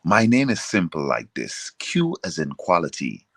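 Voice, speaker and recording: A man's voice: sits low, sing-song, moderate pace, vocal fry, a bit bright, no echo, studio quality. A man with a Jamaican accent chatting casually as a singer being interviewed and promoting himself.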